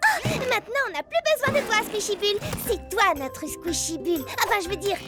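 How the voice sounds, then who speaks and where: Squeaky, excitable little cartoon paramecium girl. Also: fairly quick, music in the background. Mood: concerned, friendly. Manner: gushing, over-emotional. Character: bubbly, excitable young female paramecium, devoted to family. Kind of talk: French cartoon dub acting.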